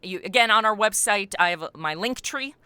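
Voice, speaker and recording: Middle-aged female podcast guest, forward bright tone in a dry, close recording, informal and animated. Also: medium pitch, moderate pace, clear, resonant tone, no echo. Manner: animated, self-deprecating, acts out quoted remarks. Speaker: podcast creator and voice actor, interview guest. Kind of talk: casual interview chat.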